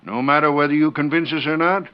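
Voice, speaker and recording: An actor playing a gruff, skeptical Old West sheriff, blustery and folksy, in 1940s radio drama style. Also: male voice, a little high, steady tone, fast, lightly acted. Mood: grudging, businesslike. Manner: blustery, folksy frontier talk. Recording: dull vintage radio drama recording.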